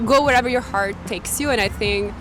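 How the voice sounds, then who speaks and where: A woman's voice: a little high, a little fast, a bit bright, slight background noise, low-quality recording. Thoughtful Italian-born woman in her twenties. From Italy. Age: 20s. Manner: thoughtful, hesitant, many "like" fillers. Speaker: interviewee. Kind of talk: casual street interview.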